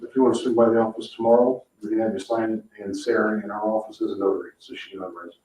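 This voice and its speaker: A man presenting a staff report at a public meeting in a matter-of-fact, halting way. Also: fairly low pitch, fairly even intonation, dark tone. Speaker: town staff member presenting to a board. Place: indoor municipal meeting room. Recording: muffled, echoey, noisy room, distant mic.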